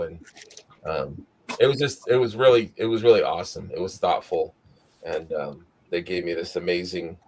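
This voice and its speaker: A man hosting a weekly live show in casual chat, with a scratchy morning voice, rambling, tired but upbeat. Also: deep voice.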